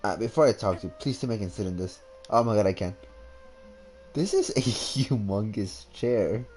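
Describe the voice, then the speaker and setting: A man giving casual live gameplay commentary as a streamer, halting and slangy in his delivery. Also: lowish voice, darkish tone, faint music behind. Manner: halting, slangy, muttering while reading. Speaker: video game streamer.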